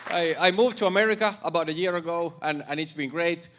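A man from Finland doing stand-up with a Finnish accent, halting and deadpan, stumbling over repeated words. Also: a little high. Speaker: stand-up comedian. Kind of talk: stand-up set. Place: comedy club stage before laughing audience. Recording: loud crowd laughter and noise.

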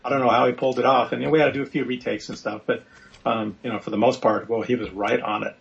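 A man who is a guest author on a podcast, telling his story briskly in casual chat with plenty of fillers. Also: mid-range voice, fairly even intonation, gravelly. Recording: dull lo-fi audio.

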